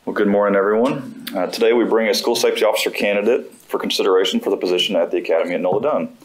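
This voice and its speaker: A man's voice: on the low side, fairly even intonation, very fast, slight background noise, poor audio. A middle-aged man at a podium in a school board room, reading formal prepared remarks about a new hire. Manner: reads steadily from notes, formal. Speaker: school district safety administrator presenting a hire. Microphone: podium microphone.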